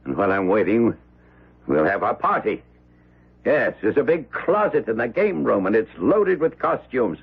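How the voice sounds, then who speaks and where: An actor playing a domineering, brash old American millionaire who blusters in slang, in a radio drama. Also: male voice, medium pitch, sing-song, moderate pace, gravelly, slightly rough, heavily acted. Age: older. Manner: blustering, slangy, repeating himself. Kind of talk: 1970s radio drama acting. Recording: muffled old radio broadcast, music behind.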